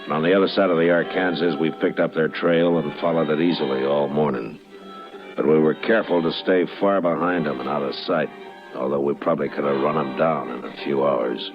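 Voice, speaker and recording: Stern American western lawman, extremely low voice, measured, terse, old radio drama, music behind. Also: steady tone, highs cut off. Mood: grave narration. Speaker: lead character and narrator. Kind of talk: old-time radio western acting and narration.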